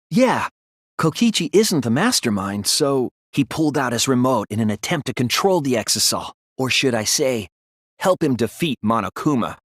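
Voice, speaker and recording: A man playing an earnest, analytical young male detective in an English video game dub, reasoning deliberately and articulately. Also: medium pitch, no echo, clean studio sound. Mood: urgent, insistent. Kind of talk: English game dub, dramatic courtroom deduction.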